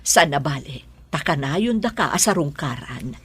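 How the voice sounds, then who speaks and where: A middle-aged woman with a Filipino accent playing a gentle, worried mother in an audio drama, with a husky voice. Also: low voice, lively intonation, moderate pace, full character voice. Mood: gentle advice. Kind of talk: Ilocano audio drama acting.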